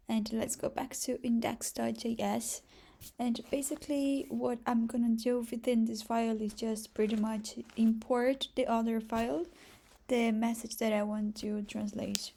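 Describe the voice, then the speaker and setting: Slow, creaky Brazilian woman explaining softly. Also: on the high side. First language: Portuguese. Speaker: programming tutorial host. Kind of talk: casual step-by-step screencast tutorial.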